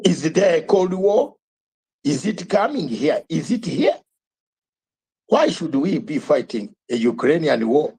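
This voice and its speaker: High, husky older man preaching politics. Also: very animated, measured pace, mellow. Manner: emphatic, repetitive, rhetorical questions. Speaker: host of an online live talk show. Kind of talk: fiery live commentary.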